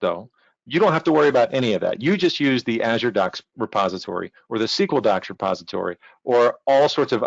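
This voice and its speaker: A man's voice: on the low side, creaky, dry recording. A man giving an informal, explanatory technical presentation online. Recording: dull lo-fi online-call audio.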